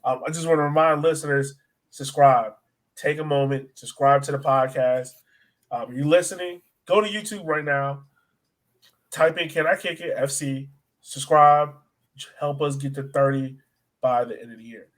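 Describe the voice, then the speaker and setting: A man's voice: on the high side, moderate pace, a bit bright. A man hosting a sports podcast in a casual, chatty style.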